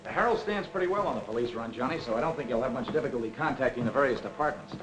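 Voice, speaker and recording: A man as a fast, animated wisecracking 1940s reporter. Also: medium pitch, music in the background, close to an everyday voice, low-quality recording. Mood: breezy, friendly. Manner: breezy, joking, fast-talking. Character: glib, wisecracking veteran newspaper reporter. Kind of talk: 1940s film acting.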